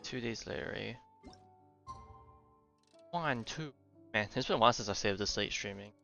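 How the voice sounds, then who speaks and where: A man casually livestreaming a game, with a fairly low, bright and clean voice. He rambles in an offhand way over faint game music. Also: moderate pace, vocal fry. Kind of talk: casual live gameplay commentary. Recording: slightly dull sound, faint game music.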